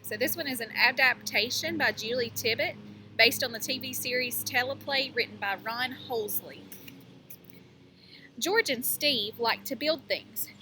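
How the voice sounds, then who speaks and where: A woman teacher reading a picture book aloud to young children, pausing to explain things and ask them questions. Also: fairly high pitch, pushed and bright, slight background noise.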